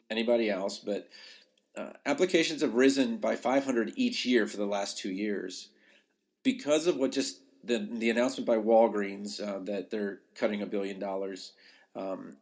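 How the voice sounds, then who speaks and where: A man with a slightly low voice speaks like a podcast host coaching his listeners. His delivery is conversational and informal, leaning on key words for emphasis as he gives practical advice. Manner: conversational, emphatic, acts out short quotes.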